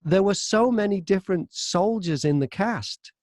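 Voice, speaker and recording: Middle-aged man, British accent, higher-pitched voice, dry close sound, chatty self-deprecating interview storyteller. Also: lively intonation, normal speed, a little mellow, dry recording. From Britain. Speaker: voice-over artist and former radio presenter being interviewed. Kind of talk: casual anecdotal interview chat.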